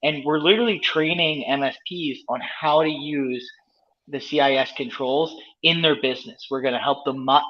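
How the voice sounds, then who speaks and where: Man, company leader, enthusiastic, stumbling repeats, dull lo-fi sound. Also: a little high. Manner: enthusiastic, repeats words while thinking. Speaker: company leader, event co-host. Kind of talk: interview guest on video call. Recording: dull lo-fi audio.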